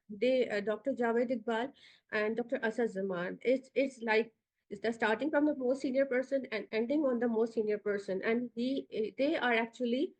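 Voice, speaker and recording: Woman with a Pakistani accent, fairly high. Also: darkish tone, low-quality recording. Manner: hesitant, many fillers, directs slide changes. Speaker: institute founder giving orientation. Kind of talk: online orientation talk.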